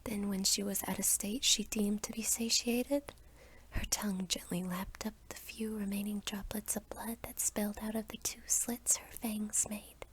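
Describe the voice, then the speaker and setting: A young adult woman reading a scripted second-person story as ASMR in a soft, whispery voice. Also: medium pitch, steady tone, normal speed, a little hiss or hum. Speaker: roleplay narrator.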